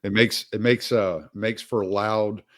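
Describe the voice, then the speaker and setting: A man's voice: lowish voice, steady tone, slow, a bit bright. A man, hosting a casual interview in an easygoing, rambling way. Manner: easygoing, rambling, chuckles often. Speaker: podcast host.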